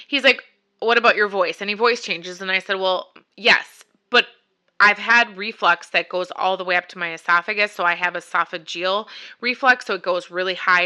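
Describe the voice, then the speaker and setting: A woman's voice: mid-range voice, pushed and bright, clean tone. A woman vlogger telling a personal story in a casual, chatty, rambling way, voicing both sides of conversations as she goes. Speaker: vlogger sharing a personal health journey.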